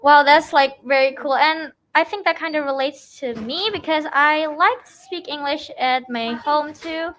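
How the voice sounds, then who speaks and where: A woman's voice: high voice, moderate pace, few pauses, fairly bright tone, a little hiss or hum. A teenage girl, a tenth grader hosting a student interview, eager and full of effusive praise. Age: teens. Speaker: student host. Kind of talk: casual interview chat.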